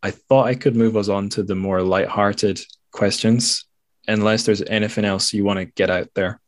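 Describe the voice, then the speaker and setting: Slightly low-voiced male podcast host. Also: fairly even intonation, normal speed. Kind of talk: casual interview conversation.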